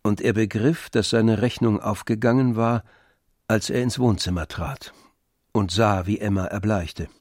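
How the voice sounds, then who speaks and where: Male narrator for literary audio drama: composed, measured, fairly low register. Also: fairly even intonation, a little mellow, dry recording, clean studio sound.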